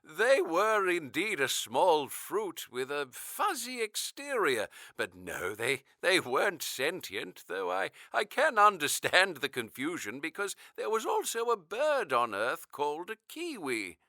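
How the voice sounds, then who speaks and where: An actor playing a gentle, wistful ninety-three-year-old man with a creaky voice, courteous and halting in an audio drama interview. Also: fairly high pitch, huge pitch swings, no echo, clean studio sound, heavily acted. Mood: kindly, chuckling. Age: older. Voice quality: creaky, aged voice.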